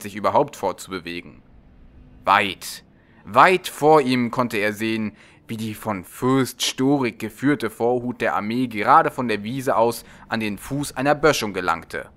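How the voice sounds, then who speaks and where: A man's voice: mid-range voice, pushed and bright. A man narrating a storybook from a script as an audiobook reader.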